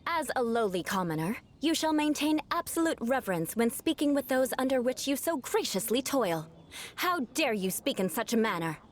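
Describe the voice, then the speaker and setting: A woman's voice: on the high side, sing-song, normal speed. A haughty young noblewoman knight. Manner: haughty, formal, ornate aristocratic phrasing. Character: proud young noblewoman knight, stiffly formal. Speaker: game character. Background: game music.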